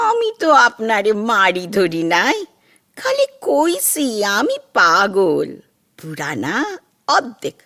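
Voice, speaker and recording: A woman's voice: high-pitched, fairly bright tone, exaggerated voice. A woman playing a bossy, volatile, half-mad domestic attendant in a comic audio drama, with a rustic East Bengali accent and a coaxing, scolding manner.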